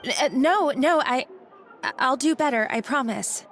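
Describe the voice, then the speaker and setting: A woman's voice: high-pitched, expressive, moderate pace, background noise. A woman voicing a timid, flustered young kitchen worker in a video game, stammering and apologetic. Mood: nervous. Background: music.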